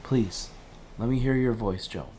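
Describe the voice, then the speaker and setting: A man's voice: medium pitch, a little slow, warm tone, slight background noise, close to an everyday voice. A man playing an earnest, empathetic crisis helpline operator in an audio drama, reassuring and imploring in short, repeated pleas. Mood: desperate.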